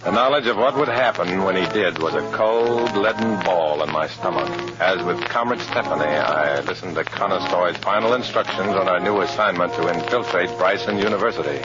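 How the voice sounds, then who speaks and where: An actor playing a guarded, sober undercover agent, narrating and acting in an old radio drama. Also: male voice, low voice, normal speed, hardly any pauses, close to an everyday voice. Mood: tense, brooding. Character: guarded, tense male undercover agent posing as loyal communist. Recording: dull old radio recording, music behind.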